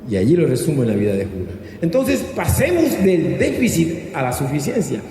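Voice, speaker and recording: A man's voice: on the high side, huge pitch swings, a little fast, warm tone. A man with a Colombian accent preaching a sermon as a pastor, teaching with rhetorical questions and asking listeners to repeat after him. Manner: didactic, prompting listeners to repeat and answer. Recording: echoey hall with long reverb, background noise.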